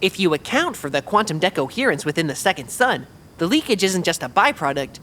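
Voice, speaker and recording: An actor playing a reserved, bookish young tech researcher in an audio drama, speaking in a measured way. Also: medium pitch, big pitch swings, fairly bright tone, a little hiss or hum. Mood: earnest, measured. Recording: clear music bed behind the voice.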